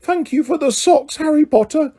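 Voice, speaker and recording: Guy doing a timid little elf, high pitched, eager and nervous, warm tone. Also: animated, moderate pace, husky, heavily acted. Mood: earnest. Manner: high, earnest, anxious, broken grammar. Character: timid loyal male house elf, small. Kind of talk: audiobook character voice.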